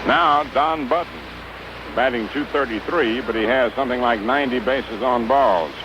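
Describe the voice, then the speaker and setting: A noisy, muffled old radio broadcast carries an American man's baseball commentary. His voice sits at an average male pitch, and he speaks in measured, clipped phrases in a 1950s announcing style. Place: ballpark broadcast booth.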